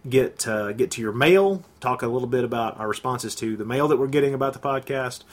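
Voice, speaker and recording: A man's voice: mid-range voice, a little fast. A man hosting a podcast in casual chat, with a bit of sinus congestion, rambling with a self-deprecating manner. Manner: rambling, self-deprecating, many fillers and restarts. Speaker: podcast host.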